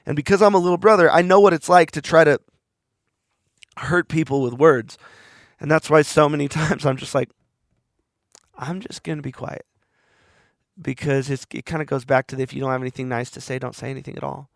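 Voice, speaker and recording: Hoarse man with a gravelly voice. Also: fairly high pitch, steady tone, fairly quick, pauses often, no room sound, studio quality. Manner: rambling, earnest, with restarts. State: losing his voice. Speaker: podcast host. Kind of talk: reflective solo monologue.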